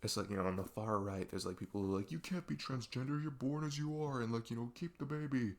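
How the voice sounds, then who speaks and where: A man playing an earnest male best friend in an audio roleplay, confiding in a casual, rambling, halting way with lots of filler words. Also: medium pitch, natural voice. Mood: exasperated, ranting. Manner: rambling, halting, filler-heavy, laughs self-consciously. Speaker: ASMR audio roleplay performer. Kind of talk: casual improvised-sounding roleplay monologue addressed to the listener.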